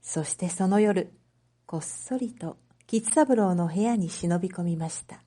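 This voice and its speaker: A woman reading a story aloud as a narrator, in a measured, clearly phrased storytelling manner for reading practice. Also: lowish voice, dark tone. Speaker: narrator, Japanese reading teacher.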